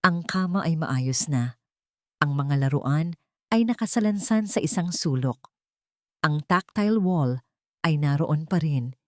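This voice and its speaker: A woman narrating a sentimental story in a scripted, dramatic style with emotive, deliberate phrasing. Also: low-pitched, animated, darkish tone, no room sound, clean studio sound.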